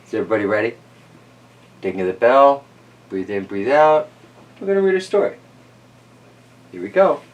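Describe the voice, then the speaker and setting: A man hosting a children's story reading, mixing casual, easygoing chat and musing asides with animated storybook narration. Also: medium pitch, moderate pace, long pauses, far from the mic, lo-fi sound, a little hiss or hum.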